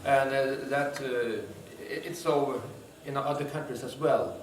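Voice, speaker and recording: Man, hesitant with lots of uhs, slow, echoey room, distant hissy mic. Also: medium pitch. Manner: hesitant, many fillers. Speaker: visiting doctor.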